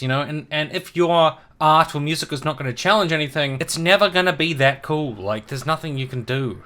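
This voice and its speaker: A man's voice: on the high side, bright, forceful tone. A man from New Zealand with a New Zealand accent, ranting in a casual video response, sarcastic, emphatic and freely profane. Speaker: video commentator.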